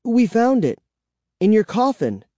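A male reader acts out a scared, urgent child in a spooky storybook. The voice jumps up high and stays soft-edged, anxious in its delivery. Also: big pitch swings, a little slow, dry recording, studio quality. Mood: nervous. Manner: urgent, tense exclamations. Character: anxious, frightened child narrator in a vampire tale.

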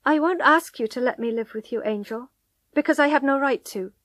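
Woman acting a distraught young wife, high pleading voice. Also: fairly quick, fairly bright tone, no echo, clean studio sound. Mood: helpless, submissive. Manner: pleading, breathy whispers rising to anguished cries.

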